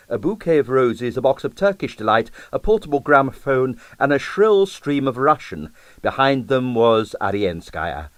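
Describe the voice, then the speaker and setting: Animated male storyteller with a dry recording. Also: mid-range voice, dry recording. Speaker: audiobook reader. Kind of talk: scripted narration of a comic novel.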